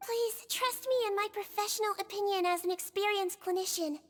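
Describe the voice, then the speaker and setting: A woman voicing a small, cute, earnest childlike head nurse in a video game, cutely expressive. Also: very high voice, fairly quick. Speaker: game character voice actor. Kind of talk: scripted game dialogue.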